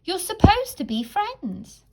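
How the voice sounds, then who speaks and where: A woman's voice: very high-pitched, huge pitch swings, slow pace, dark tone, pitched above the performer's own voice, heavily acted. A woman voicing a wise old male owl who explains things kindly but sternly in a children's storybook. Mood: regretful, firm. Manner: emphatic, explaining, admonishing. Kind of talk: children's storybook read-aloud.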